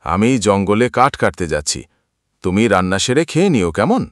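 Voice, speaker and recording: A man's voice: on the low side, sing-song, moderate pace, no room sound, clean studio sound. A man voicing a poor, humble village woodcutter in a cartoon, speaking earnestly and plaintively. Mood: worried. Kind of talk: Bengali moral-story cartoon voice acting.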